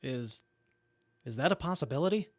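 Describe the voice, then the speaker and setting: A man's voice: medium pitch, expressive, moderate pace, no room sound, close to an everyday voice. Man playing a mild tinkerer, dry recording. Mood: mild, hesitant. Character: mild, injured male tinkerer. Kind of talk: audio drama acting.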